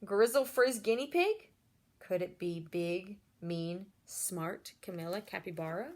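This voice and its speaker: A woman reading a children's story aloud in an expressive way, pausing to address young listeners directly. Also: lowish voice. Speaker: storybook reader.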